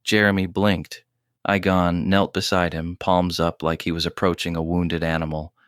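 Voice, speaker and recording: A man narrating a scripted audio drama in a measured, terse storytelling manner, recorded close and dry. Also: deep voice, level tone, clean tone, no echo.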